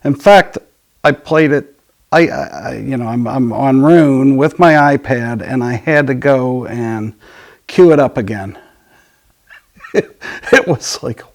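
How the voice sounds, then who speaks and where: Gravelly, slow-talking man, casual and enthusiastic. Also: medium pitch. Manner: enthusiastic, halting, trailing off mid-thought. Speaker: audio dealer and show host. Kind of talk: casual product and music talk.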